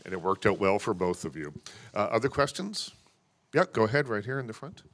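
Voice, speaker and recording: Brisk man moderating a press conference. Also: fairly low pitch, expressive, fairly bright tone, a little hiss or hum. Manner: brisk, businesslike.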